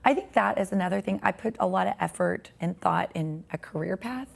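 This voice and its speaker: A woman in her forties, a podcast guest with a raspy, tired voice, talking earnestly and reflectively about faith. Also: mid-range voice, fairly quick. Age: 40s.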